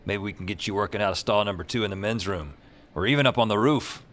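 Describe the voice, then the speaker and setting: An actor playing a weary, deadpan, sardonic dispatcher who narrates his own noir tale in a fiction podcast. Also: fairly low pitch, quick pace, lightly acted. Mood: deadpan, dry.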